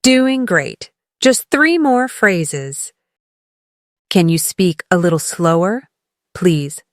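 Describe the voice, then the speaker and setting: Woman english teacher doing repeat-after-me phrases, really slow and careful, super dry close sound. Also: on the low side, lively intonation, no echo. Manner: encouraging coaching. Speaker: English teacher leading shadowing practice. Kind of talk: scripted language lesson, model phrases said twice for repeating.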